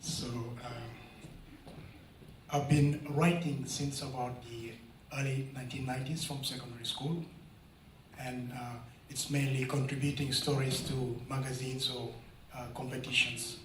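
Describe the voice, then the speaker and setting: A man's voice: medium pitch, unhurried, a little hiss or hum. A man in his forties from South Sudan, introducing himself hesitantly and then reading a short story aloud. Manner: flat, hesitant speech with many "uh"s. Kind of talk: public reading of prose. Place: indoor event room. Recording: roomy hall, distant mic.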